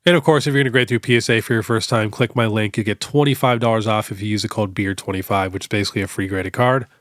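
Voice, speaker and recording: A young man with a stuffed-up nose from a cold, hosting a casual question-and-answer show in a relaxed, chatty way full of fillers. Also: on the low side, fast talker, dry recording, studio quality. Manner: relaxed, chatty, many fillers like "you know". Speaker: host. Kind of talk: casual Q&A on card collecting.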